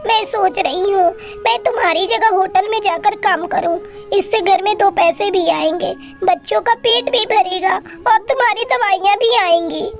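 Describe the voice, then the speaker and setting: Casting: woman as hardworking young bird wife, tiny high cartoon voice, polite, earnest, faint music behind.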